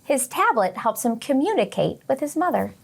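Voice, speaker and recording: Female children's read-along host, higher-pitched, expressive, question-led teacher delivery. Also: gravelly, breathy edge. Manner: expressive, asks questions and pauses for imagined answers. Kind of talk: read-aloud and lesson addressed to young children.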